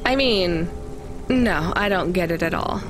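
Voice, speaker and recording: A woman's voice: fairly low pitch, expressive, unhurried, rough recording. A woman voicing a wry, level-headed young delivery driver in a video game, with a dry, questioning manner. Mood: curious, wry. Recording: music behind the voice.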